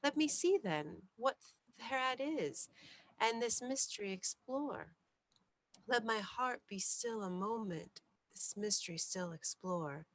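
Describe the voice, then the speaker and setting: A woman storyteller giving a deliberate, theatrical bedtime reading of gothic poetry, sometimes hushed. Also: a little high, animated, no room sound, low-quality recording.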